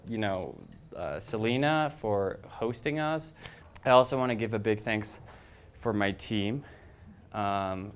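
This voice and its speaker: A young man who founded a design agency, telling a rambling, animated story to a crowd on a handheld microphone in an event room. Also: on the low side, clear, resonant tone. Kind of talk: casual presentation to an audience.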